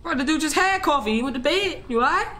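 Teenage boy co-player, high lively delivery, pushy directions, casual home chat. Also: fast talker.

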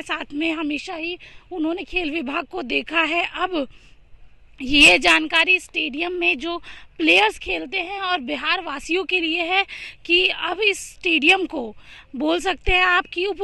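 A young woman reporting news from outdoors at a stadium, holding a handheld mic, talking quickly and earnestly with frequent filler phrases. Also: very high-pitched, vocal fry, pushed and bright, no room sound. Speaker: field reporter. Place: outdoors at a large open stadium. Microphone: handheld mic in front of her.

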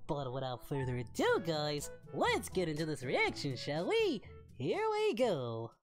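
A woman with a fairly low, very lively voice gives casual, excitable reaction commentary. She talks back to the video as she hosts, with music clearly playing behind her. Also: measured pace.

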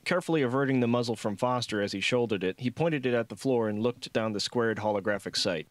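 A man's voice: mid-range voice, fast talker, dry recording. A man reading scripted fiction as an audiobook narrator.

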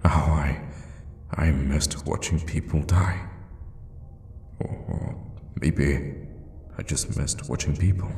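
A man acts out a sadistic old vampire in an ASMR audio roleplay. His voice is extremely low and gravelly, sinking into breathy whispers. He speaks very slowly, teasing and menacingly tender, in a long reverb. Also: fairly even intonation, heavily acted. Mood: musing, cold. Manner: slow, hushed, menacingly tender with pet names. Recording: long reverb, faint background noise.

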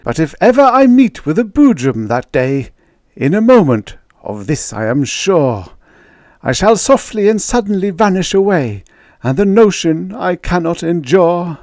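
A meek, tearful baker frets through his tale in a storybook verse reading, as a male reader puts him on. The voice sits well above the reader's own, wobbling high and wide in pitch, and the sound is close and dry. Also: sing-song, measured pace, darkish tone, no room sound. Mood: fearful. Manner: high, quavering, pleading, fretful. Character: timid, tearful middle-aged male baker.

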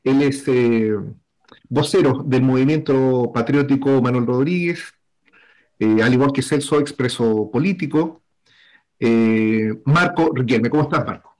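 A man's voice: mid-range voice, unhurried, darkish tone. A middle-aged man hosts a political talk show over a video call from a home room lined with books. He speaks through a headset, in a formal, roundabout way, with plenty of "eh" fillers as he lines up guests. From Chile. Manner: formal, wordy introductions with many fillers. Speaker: host.

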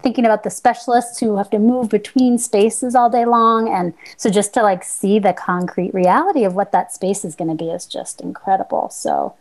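Woman on a video call sharing her excitement with the board, chatty and gushing, lots of likes and ums. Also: medium pitch, moderate pace, few pauses. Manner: gushing, chatty, uses fillers. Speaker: school board member. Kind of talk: casual remarks in a board meeting.

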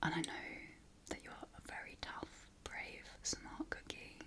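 A British woman speaking in a breathy whisper, giving encouraging, affectionate ASMR affirmations in an informal way. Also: unhurried. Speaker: ASMR host.

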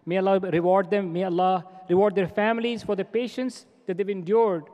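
A man's voice: high voice, darkish tone. A middle-aged man giving a formal welcome speech at a convention podium, earnest and exhorting, often repeating his phrases for emphasis. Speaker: organization president giving a welcome address. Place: large convention hall stage. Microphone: podium microphones. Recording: long reverb of a large hall.

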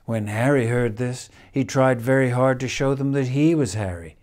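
An older man reads a children's picture book expressively, with measured phrasing and dramatic pauses. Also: mid-range voice, a little mellow. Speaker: storyteller reading a picture book. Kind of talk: expressive children's storybook reading. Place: indoors, room in a house with stone wall.

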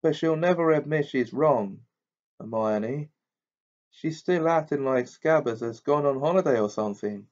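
Brief: resentful schoolboy voiced by a male reader, raised pitch, dull lo-fi sound. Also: unhurried, darkish tone, lightly acted. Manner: blunt, resentful. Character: sulky stubborn teenage boy. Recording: muffled lo-fi recording.

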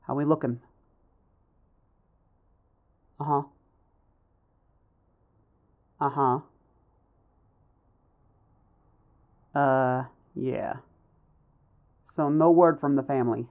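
A man's voice: medium pitch, fairly even intonation, normal speed, narrow, tinny audio, close to an everyday voice. A man playing a callous, mocking kidnapper with casual menace, in an audio roleplay. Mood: cold, casual. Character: callous male kidnapper, mocking thug disposing of a hostage.

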